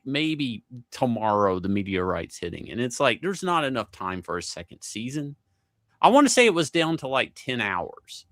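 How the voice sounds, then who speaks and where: A man's voice: mid-range voice, a bit bright, no room sound. A man co-hosting a livestream in casual chat, telling stories in an exasperated, profanity-laced rant. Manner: exasperated, profane ranting storyteller. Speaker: livestream co-host.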